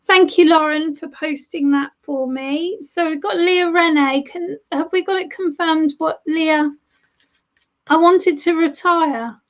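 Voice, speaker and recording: High-voiced woman reading tarot on a stream. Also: a little slow. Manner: affectionate, chatty, calls viewers darling and love. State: losing her voice. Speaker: tarot reader. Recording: dull lo-fi stream audio.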